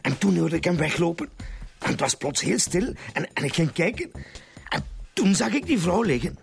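Evasive, high-pitched, creaky Flemish man. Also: sing-song, soft background music, heavily acted. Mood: nervous, halting. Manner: defensive, halting. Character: evasive male rendezvous-house keeper under police questioning. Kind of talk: radio drama acting.

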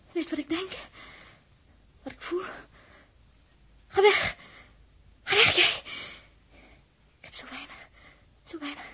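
An actress playing a delirious, despairing young woman who is gravely ill, in a 1960s radio drama, speaking in repetitive fragments. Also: sits high, sharp tone, slight background noise, exaggerated voice. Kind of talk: 1960s Dutch radio play acting.

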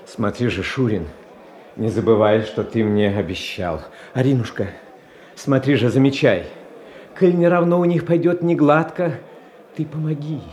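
An actor playing a gentle, childlike young tsar in a radio tragedy, halting and earnest, often repeating himself. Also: male voice, mid-range voice, lightly acted. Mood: anxious pleading. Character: gentle, anxious, kind-hearted young tsar, childlike and pleading. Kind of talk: Russian stage tragedy as radio play.

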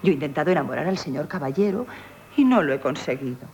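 An actress with a Castilian Spanish accent playing a witty, proud young innkeeper in a theatrical radio comedy, teasing and emphatic. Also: female voice, deep voice, expressive, quick pace, darkish tone. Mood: teasing, triumphant. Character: witty, coquettish, proud young female innkeeper, mock-humble. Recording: hissy 1970s radio broadcast.

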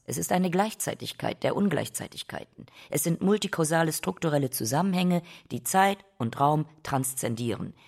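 An actress playing an opinionated, intellectual philosophy teacher in a drama, argumentative and husky. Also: female voice, a bass voice, darkish tone, full character voice. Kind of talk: dialogue acting in a German drama.

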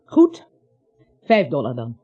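Dark, husky, streetwise dreamer. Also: female voice, rumbling low, huge pitch swings, slow, exaggerated voice. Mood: businesslike. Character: dreamy, streetwise woman philosophising, frankly businesslike. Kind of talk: radio play acting. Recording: muffled old radio play, music behind.

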